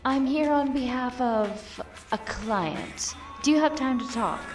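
A woman's voice: high voice, distant mic. A woman playing a blunt, composed lieutenant in an audio drama, speaking formally and matter-of-factly. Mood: formal, persuasive. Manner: formal, measured, matter-of-fact. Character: blunt, composed female lieutenant recruiting for a program. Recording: off-mic voice under music.

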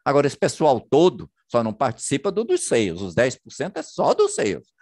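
A man presents slides over a video call, talking in a lively, swooping voice. He keeps asking rhetorical questions and checking in with his listeners. The sound is close and dry, with no room around it. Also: medium pitch, fairly quick, husky, no room sound. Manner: chatty, many checking tags and rhetorical questions. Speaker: business presenter. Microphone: computer mic on a video call.

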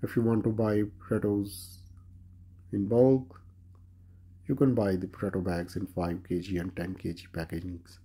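Man, husky low-toned voice, grocery store vlog, plain price listing, indoor shop. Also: little pitch movement, normal speed, warm tone. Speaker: vlogger. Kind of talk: grocery store walkthrough narration.

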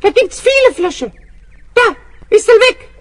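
A woman playing an indignant, cheating male boss in a children's radio play, shrill, with L in place of R. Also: very animated, unhurried, heavily acted. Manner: swaps R for L.

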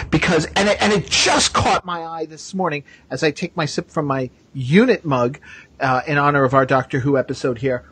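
A man's voice: on the high side, a bit bright, husky. A man hosting a podcast in casual live chat, talking chattily and often repeating himself. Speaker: podcast host.

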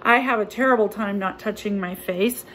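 A woman in her sixties, a pastor preaching a sermon from her living room into a close-up phone camera, speaking slowly and earnestly in a conversational way with a few fillers. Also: medium pitch, fairly bright tone, a little hiss or hum, low-quality recording. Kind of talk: home-recorded sermon. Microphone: phone or webcam close up.

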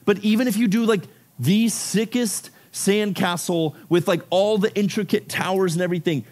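A man's voice: high voice, normal speed. A man from Ecuador giving a youth sermon to a room of about fifty teens. He talks casually and keeps dropping in "like" and "right?". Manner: casual, animated, filler-heavy with frequent "like" and "right?". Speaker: youth pastor.

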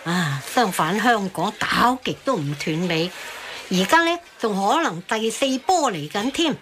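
An actress in a radio drama playing a chatty, inquisitive auntie with a Hong Kong Cantonese accent. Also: female voice, medium pitch, expressive, measured pace, a bit bright, a little hiss or hum.

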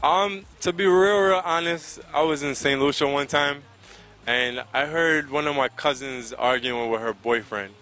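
A man's voice: on the high side, a little slow, bright, forceful tone, soft background music. A man in his thirties with an American accent, answering interview questions in an earnest, conversational way into a handheld microphone outdoors. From Connecticut, United States. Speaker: soca singer being interviewed. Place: outdoors by a riverside. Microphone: handheld microphone held close to the mouth.

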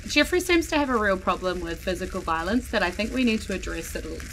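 A woman's voice: mid-range voice, lively intonation, fast, fairly bright tone, poor audio. A woman with a New Zealand accent chatting casually as a player in a tabletop game.

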